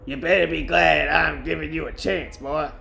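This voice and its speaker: A man's voice: fairly high pitch, fairly even intonation, moderate pace, gravelly, bright, forceful tone, heavily acted. A man voicing a bossy, irritable woman who runs a bar, in an amateur novel reading. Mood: stern.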